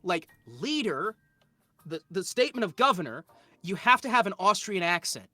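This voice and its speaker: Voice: medium pitch, lively intonation, normal speed, pushed and bright, dry recording. A guest talking in character, rambling and mock-earnest, stammering through restarts. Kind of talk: comedic in-character chat as an alien conqueror. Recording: background music under voice.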